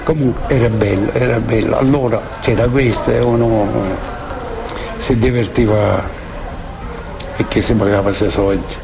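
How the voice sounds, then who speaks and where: A man's voice: fairly low pitch, steady tone, normal speed, gravelly, a crowd in the background. An older man with a Sicilian accent, reminiscing casually. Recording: noisy field recording with vehicle hum.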